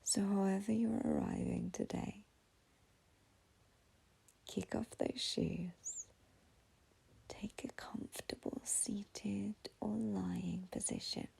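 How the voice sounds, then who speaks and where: A woman leads a guided meditation in a soft, slow whisper. Her voice is very husky and gravelly, with a mellow, dark tone, and she takes her time over each phrase. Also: mid-range voice, steady tone, low-quality recording.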